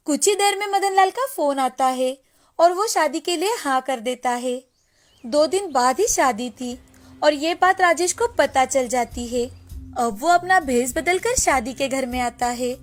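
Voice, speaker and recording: Female narrator for moral bedtime stories: high voice, scripted delivery, soft music bed. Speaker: storyteller.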